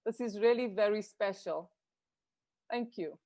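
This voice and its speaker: A woman's voice: medium pitch, a little slow, noisy background. A woman from South Africa answering questions on a video call in an earnest, grateful way, with frequent restarts. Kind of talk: reflective panel discussion.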